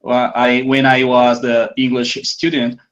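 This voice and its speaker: A man's voice: medium pitch, completely flat delivery, unhurried, a bit bright, highs cut off. A Brazilian man, a native Portuguese speaker, asking interview questions in English on a video call, hesitant and searching for words. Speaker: host, English teacher.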